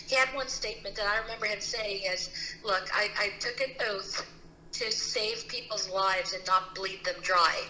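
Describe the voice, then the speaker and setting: A woman's voice: mid-range voice, fairly even intonation, moderate pace, sharp tone. A middle-aged woman from the Philippines, a guest telling her personal story in an earnest, casual way with many restarts. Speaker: guest, CEO and founder. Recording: roomy with faint noise.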